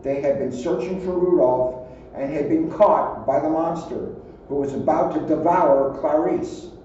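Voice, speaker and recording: A man reading a children's story aloud in an expressive style. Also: on the high side, unhurried. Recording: echoey, distant, muffled, faint noise.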